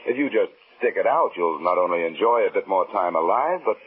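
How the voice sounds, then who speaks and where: An actor in a 1950s radio drama playing a hard-boiled, sardonic insurance investigator with a dry, world-weary manner. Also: male voice, low-pitched, animated, brisk, husky, phone-quality sound. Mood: wry, skeptical. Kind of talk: 1950s radio drama acting and noir narration. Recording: thin, band-limited old radio broadcast.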